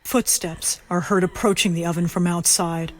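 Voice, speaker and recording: A woman narrating a scripted horror audio drama in measured, short present-tense statements. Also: fairly low pitch, steady tone. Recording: loud music under the voice. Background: loud music.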